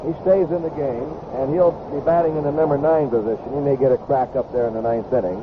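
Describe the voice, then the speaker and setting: A man's voice: mid-range voice, fairly even intonation, fast talker, phone-quality sound. Male play-by-play baseball announcer for a vintage radio broadcast, thin band-limited sound under heavy noise. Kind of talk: live sports commentary. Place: ballpark broadcast booth. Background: loud ambient ballpark noise.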